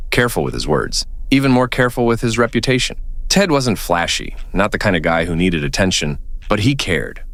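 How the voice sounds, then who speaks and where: A loyal, plainspoken young man from a small town in an audio drama. He has a fairly low voice that moves expressively as he reminisces. His delivery is earnest and folksy, recorded close and dry. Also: fairly bright tone, no echo, full character voice. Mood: fond, reflective. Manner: earnest, folksy, deliberate phrasing. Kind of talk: audio drama acting, reflective storytelling.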